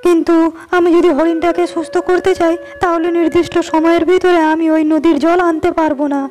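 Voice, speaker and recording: A woman voicing a humble, kind-hearted young shepherd in a storybook cartoon, speaking earnestly. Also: very high voice. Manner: earnest, pleading.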